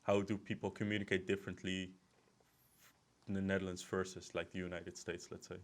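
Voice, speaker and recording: Low, flat-toned male podcast host. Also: fairly quick. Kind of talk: casual conversational interviewing.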